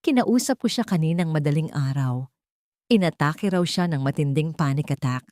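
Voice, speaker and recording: Woman doing a mean fake-sweet daughter in law, audio drama villain, kinda low voice, dry recording. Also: expressive, normal speed, mellow, no room sound. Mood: falsely sweet. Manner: menacing threats, sugary fake tenderness. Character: cruel scheming young daughter-in-law, threatening, falsely sweet.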